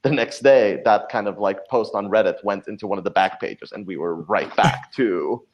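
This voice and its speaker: A man's voice: lowish voice, normal speed, lo-fi sound, noisy background. A man speaking casually as an interview guest, explaining and elaborating as he tells stories. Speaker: podcast creator, interview guest. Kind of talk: casual interview chat, storytelling.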